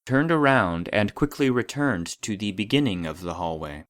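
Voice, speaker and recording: An actor playing the formal, detached voice of a secret archive database, narrating a scripted report in an audio drama. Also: male voice, lowish voice, lively intonation, moderate pace, slight background noise, natural voice. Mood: clinical, detached.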